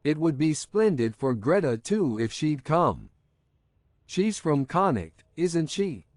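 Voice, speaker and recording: Slow lively pushy young woman in a storybook, man reading her lines. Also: a little high, no echo, clean studio sound. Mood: pressing. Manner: insistent, pressing questions. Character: eager, teasing young Irish woman.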